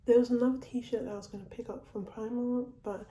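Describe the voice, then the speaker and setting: Woman, home vlogger, very dark tone, recovering from a cold, roomy echo. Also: a little high, steady tone, a little fast. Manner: chatty, repeats and restarts phrases. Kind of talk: casual haul video. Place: at home, indoors.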